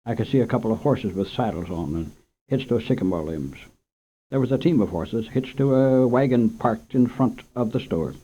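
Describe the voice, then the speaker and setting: A man reading a short story aloud in an expressive, unhurried way as the storyteller of a children's radio program. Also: medium pitch. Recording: muffled old radio recording.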